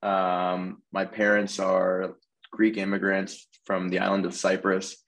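Young male guest, deep monotone with vocal fry, loose and relaxed. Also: takes it slowly. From Tampa Bay area, Florida. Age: 20s. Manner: relaxed, peppers speech with "like". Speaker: podcast guest. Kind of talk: casual interview chat.

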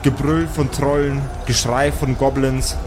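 A man narrating an improvised tabletop audio play as game master, in measured, dramatic short phrases. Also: medium pitch, fairly even intonation.

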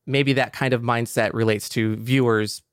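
A man's voice: medium pitch, steady tone, moderate pace, smooth, dry recording, clean studio sound. A man co-hosting a podcast in a conversational, explanatory style. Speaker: podcast co-host.

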